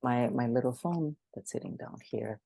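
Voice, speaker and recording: Gravelly woman. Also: extremely low pitch, normal speed, dry recording. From Greece. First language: Greek. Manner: frequent restarts, animated and emphatic. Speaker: guest expert. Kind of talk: conversational interview.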